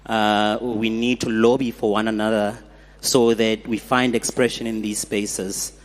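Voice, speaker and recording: A man's voice: fairly low pitch, flat delivery, unhurried. A man from South Africa giving earnest remarks from the floor at a conference, with frequent fillers. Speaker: conference delegate. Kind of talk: audience remarks. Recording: hall reverb.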